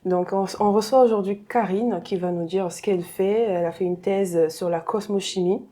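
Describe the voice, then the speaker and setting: A woman's voice: mid-range voice, steady tone, moderate pace, warm tone. A woman hosting a studio interview, chatty and playful, opening with a teasing intro before asking questions. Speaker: interview host. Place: studio set.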